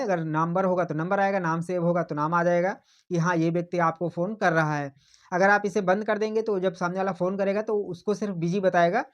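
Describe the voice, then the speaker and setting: A man hosting a tech tutorial. He walks patiently through phone settings step by step, repeats his points and keeps pointing things out. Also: sits high.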